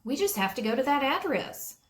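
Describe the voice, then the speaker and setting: A woman's voice: high voice, big pitch swings, brisk, echoey room, pitched above the performer's own voice. A woman voicing an eager, sure young girl detective in a children's storybook.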